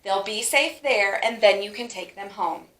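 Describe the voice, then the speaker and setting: A woman's voice: medium pitch, moderate pace, a bit bright, echoey room, natural voice. A woman voicing a cool, kindly male mouse schoolteacher in a storybook, with emphatic classroom delivery. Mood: firm, patient.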